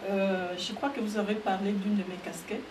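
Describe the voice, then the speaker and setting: A woman guest talking about the association she founded, measured and with a few fillers. She sounds roomy and set back from the mic. Background noise is clearly heard. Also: mid-range voice, level tone, distant mic. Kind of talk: interview answers.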